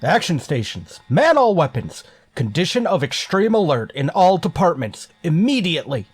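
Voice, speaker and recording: A man's voice: a little high, very animated, moderate pace, slightly rough. An actor playing a stern, wary male starship captain in a sci-fi radio drama, with a clipped, commanding manner. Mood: urgent command. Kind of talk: golden age sci-fi radio drama acting.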